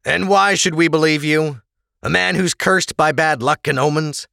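A man's voice: on the high side, moderate pace, vocal fry, bright, forceful tone, no echo, clean studio sound, pitched above the performer's own voice. A man voicing stern, scornful prison guards, raising his voice into a harsh, scolding tone.

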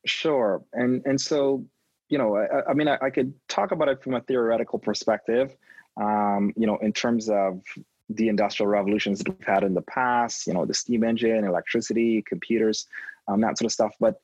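A man from Trinidad and Tobago with a low, husky voice answers interview questions thoughtfully. He pauses on ums and restarts his words as he thinks. The sound is dull, lo-fi and dry. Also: fairly even intonation, a little mellow, no room sound. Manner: reflective, frequent ums and repeated words. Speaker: guest, education innovation consultant. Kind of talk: conversational interview.

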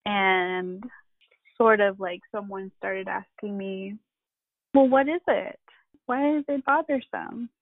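A middle-aged woman from the Philippines, a family therapist, speaking candidly and reflectively with halting pauses and self-deprecating laughs. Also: medium pitch, fairly even intonation, no echo. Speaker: podcast guest, family therapist. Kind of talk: candid personal conversation. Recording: tinny phone-like line.

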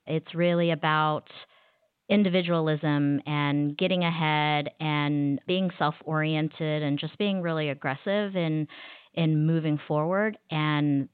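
Earnest, reflective woman in her thirties; low, smooth voice over a dry, phone-quality line. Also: no room sound. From Kansas, USA. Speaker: guest, consulting managing director. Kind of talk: podcast interview.

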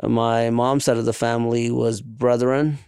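A man from India, raised with South Indian languages, tells his story in a conversational way. His voice is mid-pitched and fairly even, with clear fry at the edges, recorded dry and close. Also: moderate pace, no echo. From India, later New York. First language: Malayalam and other South Indian languages. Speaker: guest.

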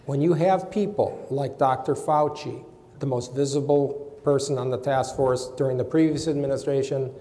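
A man on a panel makes his case insistently, doubling words as he gets going. His voice is gravelly and mellow, and it carries a long hall reverb. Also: mid-range voice. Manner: emphatic, insistent, repeats words when starting. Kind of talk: panel discussion argument.

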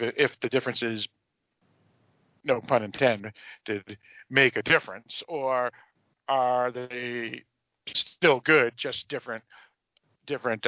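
A man with an American accent hosting a casual discussion podcast about a TV show, speaking in a rambling, halting way with repeated words. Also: medium pitch, steady tone, no room sound, muffled recording. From Massachusetts, United States. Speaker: podcast host.

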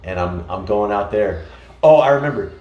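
An American man in casual conversation, telling stories in an animated way with frequent restarts. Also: on the low side, normal speed, lo-fi sound. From United States. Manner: storytelling, animated, many restarts. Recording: echoey room.

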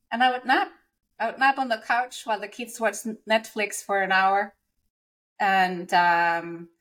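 A middle-aged woman with a medium-pitched voice speaks as a podcast co-host. English is her after a Nordic mother tongue. She shares personal experience openly, in a conversational and confiding manner. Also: a bit bright. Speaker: podcast co-host telling her own story.

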